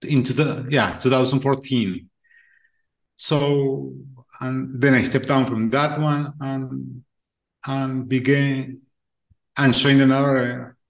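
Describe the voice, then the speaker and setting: This is a man in his forties, a guest talking through his experience on a podcast. His voice sits at an ordinary middle pitch. He explains things in a measured way and often stops to rework a phrase. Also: rough recording. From Argentina. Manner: measured, explanatory, with frequent restarts. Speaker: guest, venture capital fund partner. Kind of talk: conversational podcast interview.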